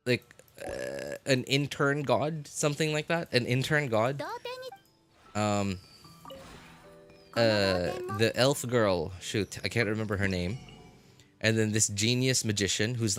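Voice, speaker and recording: A man streaming a game live, chatting casually and haltingly as he thinks aloud. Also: mid-range voice. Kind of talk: casual rambling livestream chat. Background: music.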